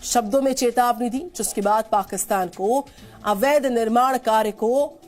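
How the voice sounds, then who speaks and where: An Indian woman anchors a television news bulletin. Her voice is on the high side and her delivery is formal and emphatic. Light news music plays behind her. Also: normal speed, a little mellow. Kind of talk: Hindi television news presenting. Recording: studio voice over news music.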